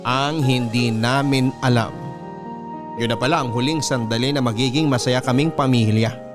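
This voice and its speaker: A man narrating a radio drama letter as a grieving adult looking back, in a measured, solemn storytelling manner. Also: mid-range voice, lightly acted. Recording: music bed clearly behind the voice.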